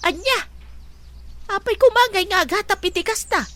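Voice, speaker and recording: An actress playing a concerned, inquisitive family member in a household radio drama. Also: female voice, very high-pitched, moderate pace, bright, forceful tone, no room sound. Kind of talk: Ilocano radio drama acting.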